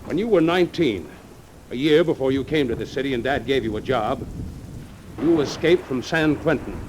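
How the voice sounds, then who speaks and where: A man's voice: medium pitch, expressive, darkish tone, close to an everyday voice, poor audio. Male actor as cool vengeful blackmailer, old-time radio drama, accusing, mid-range voice, slight storm noise. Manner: measured, accusing, menacing. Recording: old radio recording with faint rain noise.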